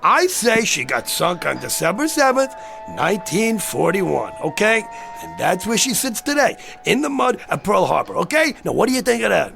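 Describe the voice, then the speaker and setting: A hard-drinking, argumentative New wise guy in a radio drama. His voice is high for a man and very gravelly, swinging up and down as he pushes and needles, over faint music. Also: big pitch swings, normal speed, full character voice. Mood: agitated. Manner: pushy, sarcastic, streetwise. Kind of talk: dramatized radio-play dialogue.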